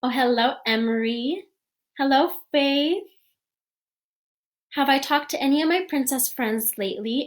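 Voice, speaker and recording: A young woman playing a sweet, gracious fairy-tale princess, greeting young fans one by one in a gentle, gushing, storybook-polite manner. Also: high voice. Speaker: costumed princess performer.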